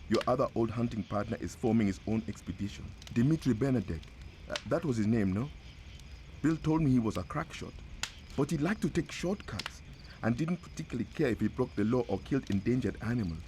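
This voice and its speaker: An actor playing a grateful, haunted village hunting guide in a video game, speaking in a grave, deliberate storytelling manner. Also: male voice, mid-range voice, lively intonation, warm tone, slight background noise, natural voice. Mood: grave, wary. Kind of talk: dramatic game cutscene dialogue.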